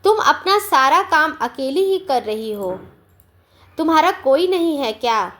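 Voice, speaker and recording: A woman's voice: sits high, animated, unhurried, fairly bright tone. A woman storyteller voicing a melancholy married lady on a journey, curt and half-hearted. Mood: pensive.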